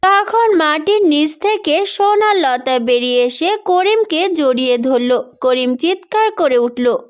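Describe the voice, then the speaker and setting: Lady storyteller reading a fairy tale script, high pitched, takes it really slow. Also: expressive.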